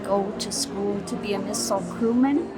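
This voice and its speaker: An older woman veteran recalling her military service slowly and deliberately in an interview. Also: mid-range voice. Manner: slow, deliberate, moved. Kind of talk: interview testimony. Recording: loud music behind.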